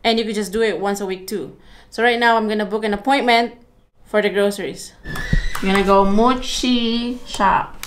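A woman's voice: medium pitch, steady tone, normal speed, slight background noise. A woman in her thirties chatting casually through a vlog and talking through each step aloud. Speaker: vlogger. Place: home office, then car and supermarket.

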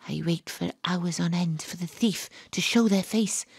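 A woman playing a gruff, dogged private detective who tells her own case in a dramatic, atmospheric audio drama delivery. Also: lowish voice, a little slow, dry recording, studio quality. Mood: tense, hushed. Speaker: lead actress and narrator. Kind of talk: first-person audio drama narration.

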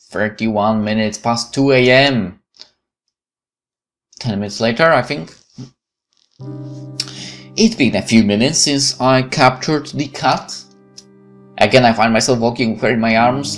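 A man playing a visual novel, reading it aloud and adding casual, playful asides. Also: mid-range voice. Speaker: gameplay streamer.

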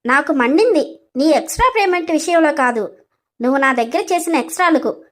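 A woman's voice: high voice, animated, fairly quick, fairly bright tone. A woman voicing a feisty, hot-tempered young female employee in a dramatized story, scolding and throwing insults. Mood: angry, accusing. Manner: scolding, confrontational, insult-laden.

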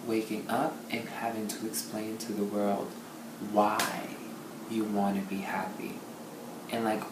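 A woman's voice: very deep voice, noisy background. A young woman speaking earnestly and reflectively in a documentary interview. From born in Maryland, raised in Puerto Rico and Brooklyn. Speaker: interviewee, artist and poet. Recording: roomy sound with music underneath.

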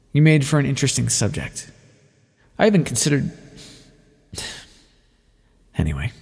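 A man playing an aloof, teasing vampire scientist in an ASMR roleplay, speaking wryly and formally with a gravelly voice. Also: mid-range voice, darkish tone, long reverb tail. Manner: wry, condescending, formal wording with pet names. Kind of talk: ASMR roleplay, scripted intimate monologue to the listener.

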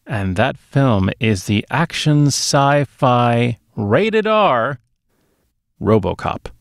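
A man's voice: medium pitch, sing-song, extremely slow, vocal fry, no room sound. A man in his thirties or forties co-hosting a movie podcast, reviewing films casually on a close microphone. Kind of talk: casual film review chat. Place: small dark home studio. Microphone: close podcast microphone on a boom arm.

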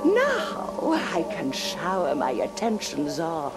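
Theatrical villainess, wildly swooping voice. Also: female voice, deep voice, huge pitch swings, exaggerated voice. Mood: gloating, mocking. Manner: mocking, theatrical, drawn-out taunts. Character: cruel, seductive, taunting monstrous villainess. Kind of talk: dramatic video game cutscene acting. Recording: loud cinematic music behind.

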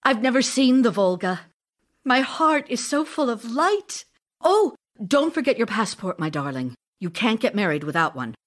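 A woman's voice: on the high side, big pitch swings. A woman playing an ardent, theatrical stage actress in love, speaking emotionally in an audio drama. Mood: joyful. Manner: emotional, theatrical, deliberate phrasing. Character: Olga Knipper, ardent Russian stage actress and lover. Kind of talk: audio drama acting, letters read as speech.

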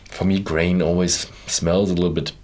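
A man's voice: deep voice, level tone, normal speed, a little mellow. A man in his thirties casually reviewing a whisky from an armchair in a small studio, rambling with many fillers and restarts. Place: indoor studio with whisky shelves, seated in armchair.

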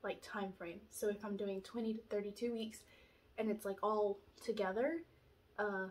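A woman's voice: mid-range voice, steady tone, moderate pace. Stuffy-nosed young woman vlogging casually. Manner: chatty, rambling, many "like" fillers. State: stuffy nose from allergies. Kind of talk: casual pregnancy update vlog. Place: small room at home, indoors. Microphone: camera in front, framed at chest.

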